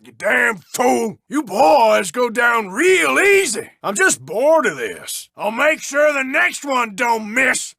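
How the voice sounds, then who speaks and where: An actor playing a sneering, violent outlaw in a video game, shouting gravelly threats and insults at lawmen. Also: male voice, extremely high pitch, dry recording, studio quality, heavily acted. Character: taunting violent outlaw man fighting lawmen. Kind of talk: video game combat barks.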